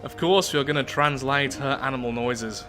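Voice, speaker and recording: Bright-voiced man doing game commentary. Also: mid-range voice, moderate pace. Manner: chatty, self-deprecating. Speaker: gaming video host. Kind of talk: casual game commentary with read-aloud storytelling. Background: faint music.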